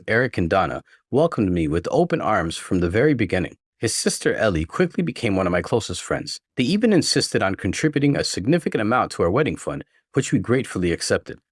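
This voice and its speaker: A man's voice: fairly low pitch, big pitch swings, brisk, slightly rough, no room sound, studio quality. A man reading a scripted first-person relationship story as a narrator. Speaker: narrator reading online stories.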